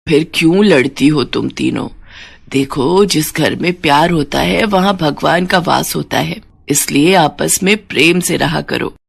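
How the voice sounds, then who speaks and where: Lady doing a kind older mom-in-law for a storybook, lower voice, soothing, softly scolding. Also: a little slow, creaky, slightly rough, heavily acted. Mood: gently chiding. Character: gentle older mother-in-law, loving peacemaker.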